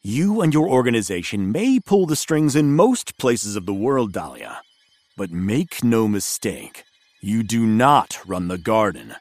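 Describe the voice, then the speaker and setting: A man's voice: on the low side, sing-song, measured pace, no echo, exaggerated voice. A man playing a proud, scornful villainous envoy in an audio drama, speaking coldly, formally and defiantly. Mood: cold defiance. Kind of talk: scripted audio drama acting.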